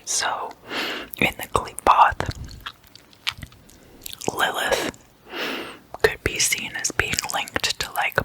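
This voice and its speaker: An ASMR host whispering throughout in a hushed, unhurried, explanatory way.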